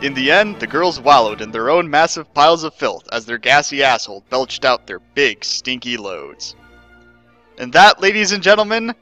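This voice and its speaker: Bright, animated theatrical male narrator. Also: medium pitch, normal speed, no echo, soft background music. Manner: theatrical, emphatic. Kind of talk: mock-dramatic scripted story reading.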